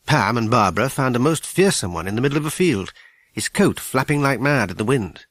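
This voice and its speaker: Male narrator for children's fiction: expressive, varied pitch, dry and close. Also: a little fast, no room sound. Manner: expressive storytelling. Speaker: audiobook narrator. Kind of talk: scripted children's story narration.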